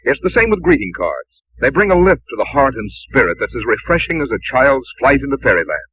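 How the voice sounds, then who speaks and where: A man reading a scripted 1950s radio sponsor message with polished, sentimental, deliberate phrasing. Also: mid-range voice, sing-song, narrow, tinny audio, a little hiss or hum. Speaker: radio announcer. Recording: old 1950s radio broadcast recording.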